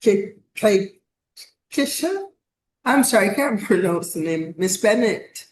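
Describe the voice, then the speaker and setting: A woman's voice: medium pitch, animated, slow pace. A woman hosting an online meeting, calling on each participant in turn and stumbling over a few names. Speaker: host. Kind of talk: online meeting roll call. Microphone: video call.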